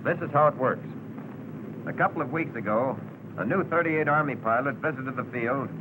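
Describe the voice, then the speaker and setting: An American man reads scripted narration for a 1940s training film. He is measured and instructive, with a medium-pitched voice and clear noise on the old soundtrack.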